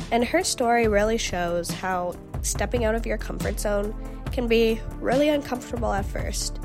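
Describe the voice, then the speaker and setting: Woman host with a gravelly voice over music. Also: mid-range voice. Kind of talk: scripted introductions. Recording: music playing behind.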